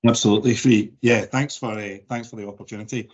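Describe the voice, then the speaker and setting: Scottish man, 40s, softened Glasgow accent, low voice, interview guest, muffled recording. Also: fairly even intonation, quick pace. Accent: Glaswegian Scottish, softened. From Scotland. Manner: thoughtful, frequent word repeats and fillers. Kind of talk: conversational interview answers.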